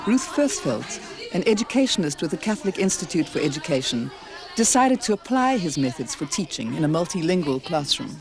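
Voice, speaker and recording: A narrator reading a formal documentary script in an even, steady delivery. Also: mid-range voice, fairly quick, crowd noise. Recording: narration over loud music. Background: music.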